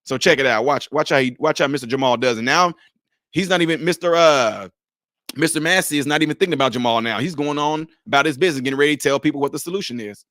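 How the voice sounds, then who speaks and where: Emphatic high-voiced male commentator. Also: quick pace, dry recording, studio quality. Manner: animated, emphatic, rhetorical questions. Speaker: commentator reacting to a video clip. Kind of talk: casual live-stream commentary.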